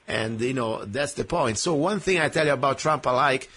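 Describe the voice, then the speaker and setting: Husky Italian-accented radio host. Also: male voice, mid-range voice, normal speed, a bit bright. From Italy. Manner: emphatic, with frequent "uh" and "you know". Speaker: radio talk show host. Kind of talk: opinionated political radio chat.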